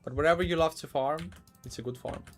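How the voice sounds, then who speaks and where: A man from Ukraine, casually commentating a live game stream, halting and repeating words as he talks. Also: on the high side, faint music behind.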